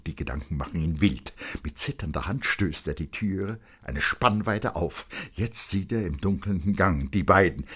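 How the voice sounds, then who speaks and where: A man with a husky voice reading literary narration for radio in an expressive, suspenseful manner. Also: deep voice. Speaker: narrator, reader.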